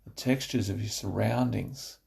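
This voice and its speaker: Low, creaky male meditation guide. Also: steady tone, normal speed, darkish tone. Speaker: guided relaxation narrator. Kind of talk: guided meditation, scripted narration.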